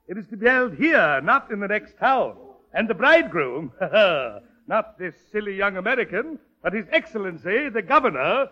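A man's voice: high-pitched, very animated, normal speed. An actor playing a pompous, domineering burgomaster and father in old radio operetta style, grandly formal and mocking. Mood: smug, commanding. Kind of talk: 1940s radio operetta acting. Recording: dull vintage radio broadcast recording.

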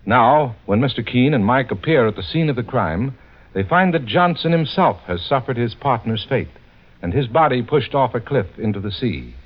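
A man's voice: medium pitch, animated, normal speed. A man giving scripted narration as a mid-century radio announcer, formal and dramatic. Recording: muffled old radio recording.

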